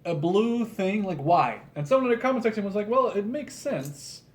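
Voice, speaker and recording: A man in his twenties chatting casually as a video host while he builds, rambling, halting and self-deprecating. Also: sits high, animated. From Netherlands. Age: 20s. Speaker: YouTube host. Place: small room at home, indoors at a table. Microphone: camera across the table. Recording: room noise, clicking pieces, slightly distant mic.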